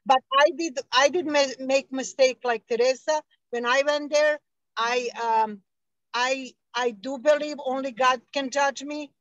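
A woman's voice: high voice, fairly even intonation, measured pace, fairly bright tone, dry recording, lo-fi sound. A woman calling in to a talk show, speaking hesitantly with many restarts. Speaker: caller. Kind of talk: casual call-in talk.

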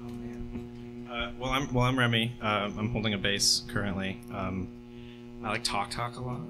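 A man fronting a band, answering interview questions casually with halting, filler-heavy speech, from Vermont. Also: medium pitch, flat delivery, music in the background, rough recording. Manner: hesitant, soft-spoken, many fillers. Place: college radio studio with band gear. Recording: live room with amp hum.

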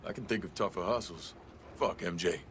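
A man's voice: sits low, brisk, a bit bright, slight background noise. An actor playing a tough, blunt young male veteran turned vigilante in a video game. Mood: cool, blunt. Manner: terse, blunt. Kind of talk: video game cutscene acting.

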